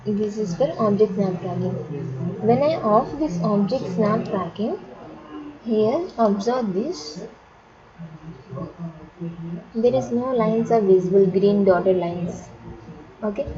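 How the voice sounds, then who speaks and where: A woman instructor walks through software steps at a slow pace. She speaks haltingly, restarting and repeating phrases. The sound is dull and lo-fi, with plenty of chatter from other people in the background. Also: medium pitch. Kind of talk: step-by-step screen tutorial on drafting software.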